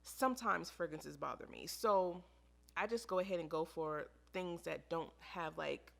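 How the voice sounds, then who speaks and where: A woman's voice: on the low side, unhurried, vocal fry, a bit bright. An American woman chatting casually to camera as a beauty vlogger, in an informal, emphatic way that repeats phrases for emphasis. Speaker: beauty vlogger reviewing products.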